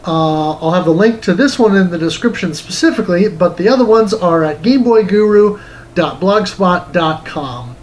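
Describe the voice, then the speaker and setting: A man with a raised, fairly high voice chats casually to the viewers of his game review show. His delivery is conversational and slightly hesitant. Some background noise sits under the voice. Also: lively intonation, moderate pace, darkish tone. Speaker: host of a retro video game review show. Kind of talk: casual unscripted sign-off to viewers.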